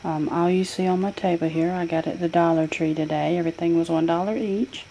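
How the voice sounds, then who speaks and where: A woman's voice: fairly low pitch, fairly even intonation, brisk, warm tone, low-quality recording. A woman listing groceries from her table in a casual home video, with plenty of ums as she goes item by item. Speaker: vlogger showing a grocery haul. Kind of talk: casual haul video narration. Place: home kitchen table, indoors. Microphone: handheld camera filming a tabletop. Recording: home camera audio with clear noise.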